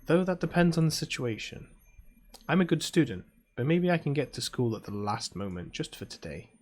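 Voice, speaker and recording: A man's voice: a little high, lively intonation, a little fast, darkish tone, a little hiss or hum, voice pushed up, lightly acted. A British man reading aloud as a sleepy, proud teenage girl mage, in a drowsy, grumbling first-person voice. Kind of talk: reading a visual novel aloud.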